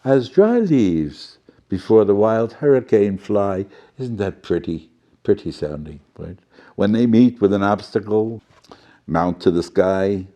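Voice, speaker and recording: A man's voice: lowish voice, expressive, unhurried, warm tone. A man playing a jolly, fussy professor storyteller who reads a children's storybook theatrically, with chatty asides and muddled words. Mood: dramatic, excited.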